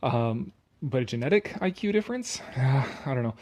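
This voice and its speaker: A man's voice: medium pitch, big pitch swings, normal speed, a little mellow. A man reading an article aloud with casual, sarcastic commentary, blunt and dismissive, prone to swearing. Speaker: online commentator.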